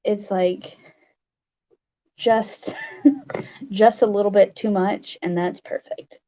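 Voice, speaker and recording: A livestream host with a raspy voice, chatting casually and haltingly with viewers, with many fillers. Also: mid-range voice, steady tone, mellow, muffled recording.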